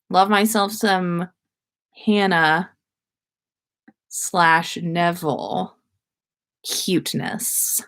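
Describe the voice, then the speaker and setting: A woman with a slightly low voice reads fiction aloud at an unhurried pace. She hosts the reading and slips into casual asides as she goes. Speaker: podcast host reading listener stories.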